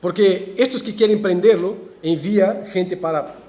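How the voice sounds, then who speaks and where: A pastor delivers a verse-by-verse sermon in a church, his voice sitting high for a man yet mellow in tone. He preaches in animated swells, breaking into restarts and ironic asides. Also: normal speed. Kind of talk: expository sermon.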